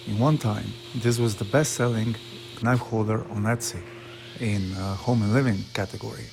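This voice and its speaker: A man's voice: on the low side, steady tone, normal speed, vocal fry, noisy background. A man from Slovenia speaking English with a Slovenian accent, narrating his woodworking in an earnest, plain way with small slips. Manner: plain, earnest, slightly broken English with frequent uh fillers. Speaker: woodworker and channel host. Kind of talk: casual workshop vlog narration.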